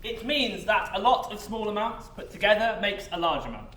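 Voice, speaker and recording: Officious droll boss man in a play, formal, highish voice, roomy and a bit far. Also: big pitch swings, moderate pace, pushed and bright, full character voice. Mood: droll, brisk. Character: droll, officious male overseer addressing his charges. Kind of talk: stage monologue, announcement. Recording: roomy distant stage sound with noise.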